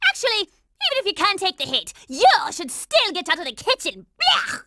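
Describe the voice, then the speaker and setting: A woman's voice: extremely high pitch, huge pitch swings, a little fast, bright, forceful tone, no room sound, studio quality, exaggerated voice. A woman voicing a bossy, hot-tempered young girl soldier in a cartoon video game, brash, scolding and sarcastic. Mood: defiant, angry.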